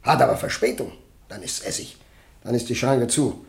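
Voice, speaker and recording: A man's voice: medium pitch, moderate pace, vocal fry. An actor playing a plain-spoken, chatty milk-truck driver in his thirties in a German radio crime drama. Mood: eager, matter-of-fact. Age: 30s. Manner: colloquial, chatty, down-to-earth.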